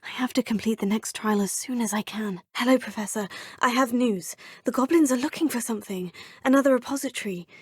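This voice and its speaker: A woman's voice: a little high, brisk, breathy edge, no echo, studio quality. An earnest witch student. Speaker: player character student. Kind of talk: video game dialogue.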